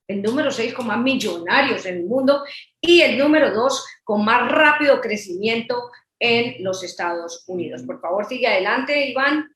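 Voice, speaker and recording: A woman's voice: fairly high pitch, fairly bright tone, echoey room, rough recording. A woman from Colombia presenting real estate investment in a webinar, listing facts and figures in a promotional way.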